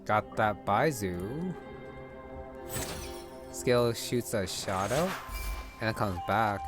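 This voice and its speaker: A man's voice: lowish voice, expressive, extremely slow. A man giving casual game commentary, musing aloud in short fragments. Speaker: gameplay streamer. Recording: loud game music behind. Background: loud game music.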